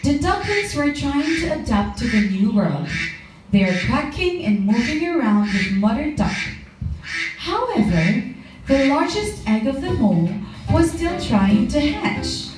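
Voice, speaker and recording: Echoey, lively woman storyteller. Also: sits high, unhurried. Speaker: narrator. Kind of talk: children's storytelling, scripted narration. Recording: very echoey room, distant mic, music.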